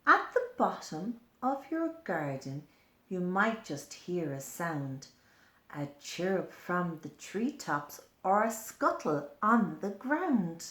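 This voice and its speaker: A woman's voice: lowish voice, very animated, takes it slowly, pushed and bright, reverberant. A woman reading a picture book aloud to young children, often prompting them with simple questions. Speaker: storyteller for children.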